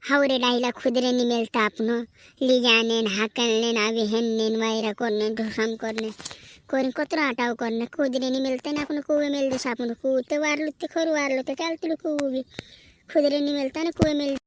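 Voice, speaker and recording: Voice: sits high, flat delivery, normal speed, slight background noise, lightly acted. A performer voicing a chatty, fretful village cartoon character with a rural western Madhya Pradesh accent, repeating phrases in comedy dialogue. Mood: worried. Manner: repetitive, chattering.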